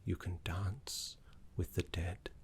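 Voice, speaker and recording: A man voicing a gentle, scholarly necromancy teacher in an ASMR roleplay, soft, breathy and half-whispered, with soothing, genteel phrasing. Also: deep voice, flat delivery, takes it slowly, full character voice. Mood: reverent, hushed. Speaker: teacher guiding a student.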